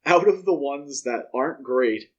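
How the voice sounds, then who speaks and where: A man's voice: medium pitch, lively intonation, measured pace, muffled recording. A man co-hosting a podcast, casually discussing films in a conversational, explanatory way. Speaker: podcast co-host.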